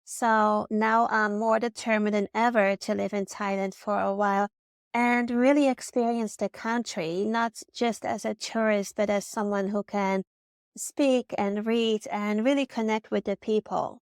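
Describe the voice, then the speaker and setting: A woman's voice: mid-range voice, fairly even intonation, measured pace, clean tone, dry recording, clean studio sound. A woman from the Netherlands with a Dutch accent, narrating a personal vlog in an earnest, reflective way. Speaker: vlogger.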